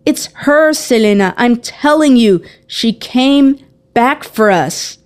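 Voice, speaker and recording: Woman voicing an earnest, insistent girl, higher-pitched. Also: animated, slow pace. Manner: pleading, emphatic. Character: young girl, protective older sister, earnest and insistent.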